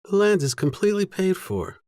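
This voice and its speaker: A man voicing a caring, plain-spoken prairie woman in an audiobook, gentle and matter-of-fact. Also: high-pitched, very animated, a little fast, no echo, studio quality, voice pushed up. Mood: calm, caring. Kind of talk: audiobook character dialogue.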